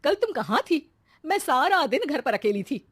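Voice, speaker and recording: A woman's voice: high-pitched, big pitch swings, moderate pace, fairly bright tone, voice pushed up. A woman voicing a naive, anxious middle-aged mother in a moral story, fretful and plaintive. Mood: hurt. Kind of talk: acted moral-story dialogue.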